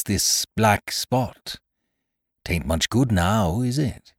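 A man voicing a cunning, domineering pirate sea-cook in an audiobook, with rough sailor dialect and a forceful, taunting manner. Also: lowish voice, dry recording, clean studio sound. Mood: wry, derisive. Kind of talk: audiobook character voice.

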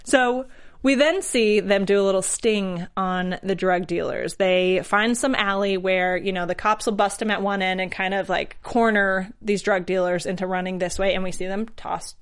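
A woman's voice: medium pitch, steady tone, normal speed, clean tone. A woman hosting a casual, chatty episode recap, peppering it with "like" and acting out characters' lines in quotes. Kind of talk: casual chat.

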